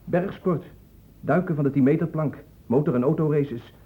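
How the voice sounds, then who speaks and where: A man's voice: fairly high pitch, normal speed, breathy edge. An actor playing a stubborn, defiant young man in a radio play, with a dismissive, sullen manner. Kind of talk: Dutch radio play acting. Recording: muffled old radio play recording.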